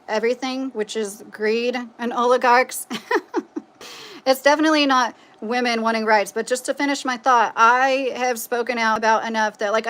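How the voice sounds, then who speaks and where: A woman's voice: a little high, a bit bright. A woman from with a nasal voice, hosting a livestream and chatting in a rambling, opinionated way with lots of "like" and "you know.". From Kentucky, United States. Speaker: livestream host reading viewer comments. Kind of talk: casual livestream chat.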